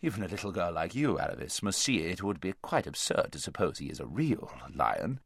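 A man's voice: sits low, very animated, a little fast, creaky, breathy edge, no echo, clean studio sound, pitched below the performer's own voice, exaggerated voice. A man voicing a proud, pompous male horse who is easily frightened, in a storybook reading. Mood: smug, lecturing. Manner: pompous, lecturing.

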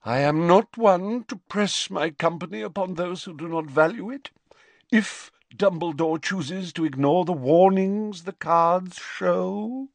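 Male reader putting on a lofty dramatic witch-seer, high wavering voice, dry studio sound. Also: a little slow, vocal fry, no room sound. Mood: ominous. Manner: theatrical, mystical, swooping high and wavering. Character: eccentric haughty middle-aged woman seer, dramatic.

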